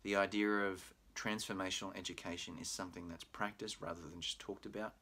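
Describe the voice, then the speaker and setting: A middle-aged Australian man with a very low voice talks prospective students through a university program. He explains things in a friendly, patient way, dropping in plenty of "uh"s. He is recorded on a webcam in a small room at home. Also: level tone, fairly quick, sharp tone, slightly rough. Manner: friendly, explanatory, with frequent "uh" fillers. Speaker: pre-law program manager. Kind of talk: recorded admissions presentation. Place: home room with bookshelf, indoors.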